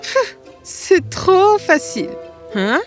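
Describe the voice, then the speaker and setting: A woman's voice: very high-pitched, very animated, drawn-out words, faint music behind, exaggerated voice. A woman voicing a frustrated teenage girl in a cartoon story, complaining and exclaiming. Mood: exasperated. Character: frustrated, whiny teenage girl struggling with grown-up chores.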